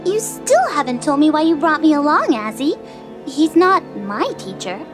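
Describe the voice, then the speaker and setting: A woman's voice: sits high, big pitch swings, normal speed. A woman voicing a childish, impatient young girl deity in an audio drama, whiny and insistent. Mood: complaining. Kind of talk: audio drama character acting. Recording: music bed behind the voice.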